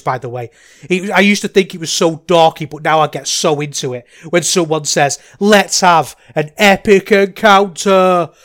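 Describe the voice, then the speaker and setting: Excitable Manchester man, rambling podcast talk. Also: high voice. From England. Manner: enthusiastic, rambling, gets loud when excited. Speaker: podcast host. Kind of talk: casual solo podcast chat.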